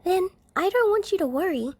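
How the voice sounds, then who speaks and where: A woman voicing a timid, sweet little girl with a soft, whispery, halting way of talking. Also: very high-pitched, expressive, dry recording, voice pushed up. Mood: worried, whispering. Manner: halting, shy.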